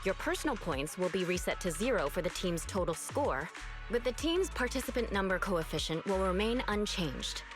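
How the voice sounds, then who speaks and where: An actress plays a polite, instructive receptionist in a video game. Her medium-pitched voice moves in lively tones, with music clearly behind it. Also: moderate pace, close to an everyday voice. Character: polite, businesslike female competition receptionist. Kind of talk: scripted game dialogue, explaining rules.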